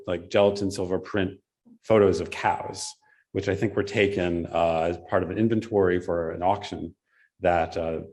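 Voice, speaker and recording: A man presenting an academic paper at a symposium, then answering a question with pauses and restarts. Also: low voice, darkish tone. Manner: measured, with frequent fillers and restarts in answers.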